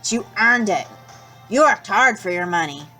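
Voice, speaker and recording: Lady reading as a kind old can man, easygoing and a bit gruff, bright tone, slow talk. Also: medium pitch, expressive, faint music behind. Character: poor homeless older man collecting cans, gruff but kind.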